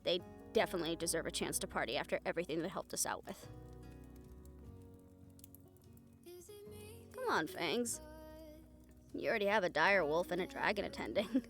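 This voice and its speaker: A woman's voice: lowish voice, expressive, fairly quick. A woman playing a caring, teasing young woman in a fantasy romance audio roleplay, with a playful, affectionate, conversational delivery. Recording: music behind the voice.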